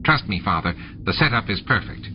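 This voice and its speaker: A man's voice: lowish voice, lively intonation, brisk, dull audio, natural voice. A man voicing an obsequious adult alien son, eager and deferential. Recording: noisy audiobook with added sound effects.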